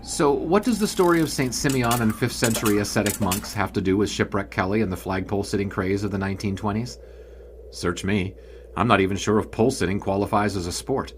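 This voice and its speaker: A man's voice: lowish voice, fairly quick, slight background noise, natural voice. A man playing a seasoned old-time sports writer, narrating a scripted history story in a dry, wry way. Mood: measured. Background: background music.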